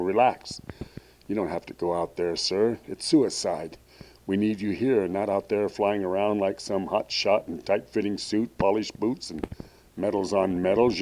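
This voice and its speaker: An actor playing an exasperated, sardonic naval officer in an audio drama, emphatic and pleading with a superior. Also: male voice, low-pitched, mellow, natural voice, poor audio.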